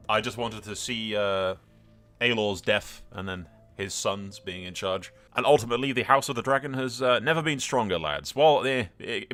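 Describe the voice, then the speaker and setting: A man's voice: medium pitch, fairly bright tone. A man giving casual, informal commentary on a game playthrough, with wry asides. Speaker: host of a gaming channel.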